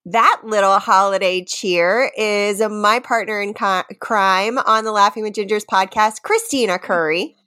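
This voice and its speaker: A woman co-hosting a comedy podcast in casual chat, playful and teasing, quick to laugh. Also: medium pitch, pushed and bright, dry recording, studio quality. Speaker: podcast co-host.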